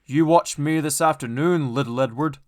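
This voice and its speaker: A man voicing a big, proud, boastful express steam engine in a children's storybook, pompous, loud and emphatic. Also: fairly high pitch, moderate pace, close to an everyday voice.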